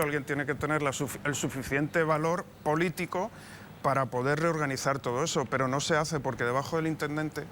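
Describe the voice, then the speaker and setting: A man's voice: fairly high pitch, a bit bright, a little hiss or hum. A man co-hosting a studio panel discussion, talking in hesitant restarts.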